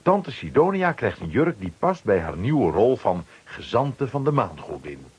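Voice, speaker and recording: Male narrator for children's audio drama: breathy rough tone, extremely varied intonation. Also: lowish voice, very animated, unhurried. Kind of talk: scripted storytelling for children's radio play.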